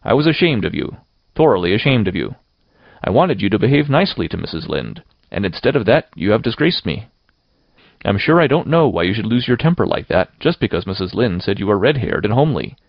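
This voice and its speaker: A man's voice: fairly low pitch, sing-song, brisk, vocal fry, dry recording, highs cut off, full character voice. A man voicing a severe, dry middle-aged woman guardian who scolds in a storybook reading. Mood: scolding.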